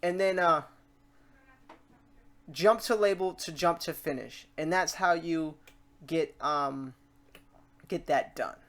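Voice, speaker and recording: Casual tutorial host thinking out loud. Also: medium pitch, slow, pauses often. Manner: informal, talks self through steps.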